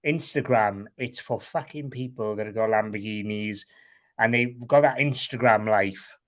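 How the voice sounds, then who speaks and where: A man's voice: fairly low pitch, moderate pace. A man with a Welsh accent, a guest talking candidly in an interview, frank and rambling, swearing casually. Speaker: guest, online seller.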